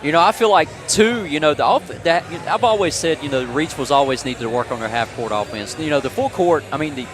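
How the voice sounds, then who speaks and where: A man's voice: a little high, animated, fairly quick, few pauses. A man giving casual, bantering color commentary on a live basketball broadcast. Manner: loose, folksy, bantering. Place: high school gymnasium.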